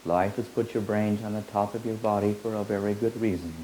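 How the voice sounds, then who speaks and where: A man giving a slow, meditative spiritual teaching, repeating his phrases in an incantatory way. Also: lowish voice, fairly even intonation, mellow, slight background noise, poor audio.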